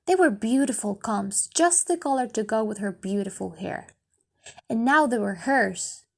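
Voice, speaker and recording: A woman reading scripted story narration in a careful, deliberate manner. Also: on the high side, expressive, creaky, darkish tone. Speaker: audiobook reader.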